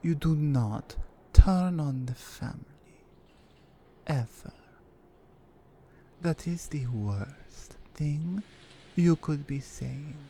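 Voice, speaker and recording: A woman playing a menacing, seductive Russian mafia captor in an intimate ASMR roleplay, with a Russian accent and a deliberate, controlled delivery. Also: low voice, animated, mellow, a little hiss or hum, full character voice. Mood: menacing warning.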